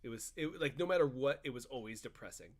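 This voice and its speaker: A man's voice: mid-range voice, animated, fast. Opinionated man in casual game banter. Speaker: podcast co-host. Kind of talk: casual video game chat.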